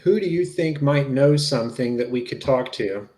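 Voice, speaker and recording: A man playing a plain-spoken, gently probing and reassuring investigator in a dramatic reading of a police interview. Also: mid-range voice, normal speed, natural voice. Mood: curious.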